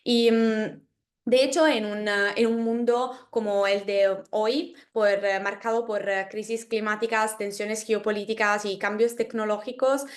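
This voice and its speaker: Woman giving a talk, careful, mid-pitched. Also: steady tone, a little slow. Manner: careful, frequent fillers. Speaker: guest speaker. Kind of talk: prepared talk. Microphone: video call.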